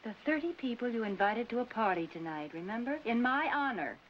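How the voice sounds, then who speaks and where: An actress playing a brisk, wisecracking American career woman in a 1940s film comedy. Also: fairly high pitch, lively intonation, slight background noise, lightly acted. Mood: exasperated. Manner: snappy, dry. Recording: muffled 1940s film soundtrack with music.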